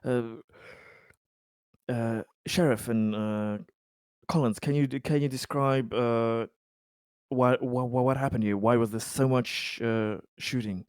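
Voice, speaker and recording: A man playing a tabletop roleplaying game, halting and stammering as he moves between narration and improvised in-character lines. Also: mid-range voice, fairly even intonation, darkish tone, smooth, no room sound, studio quality. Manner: halting, stammering, often sighing.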